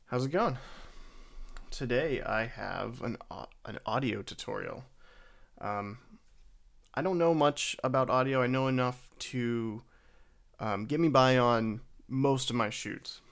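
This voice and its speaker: A man narrating a software tutorial in a casual, halting, self-deprecating way. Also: mid-range voice, gravelly. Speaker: tutorial host. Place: voice-over for a screen recording.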